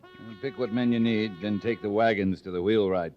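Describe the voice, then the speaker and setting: An actor playing a stern, unflappable cavalry captain in an old radio western, terse and authoritative. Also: male voice, on the low side, steady tone, moderate pace, soft background music, natural voice. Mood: commanding. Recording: dull vintage radio recording.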